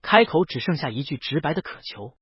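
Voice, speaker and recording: A man's voice: a little high, very animated, a little fast, slightly rough, no echo, lo-fi sound. A man reads a scripted story as an audiobook narrator, voicing the dialogue himself.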